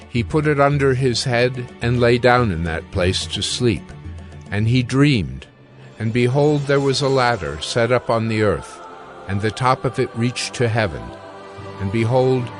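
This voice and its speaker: A man narrating scripture from a script in a measured, formal way. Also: mid-range voice.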